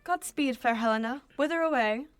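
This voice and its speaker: A woman's voice: high voice, clean tone, dry recording, faint music behind, natural voice. A young woman playing a devoted young Athenian lady in love, reciting Shakespearean verse earnestly in a radio play. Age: young adult. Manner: earnest, measured verse delivery.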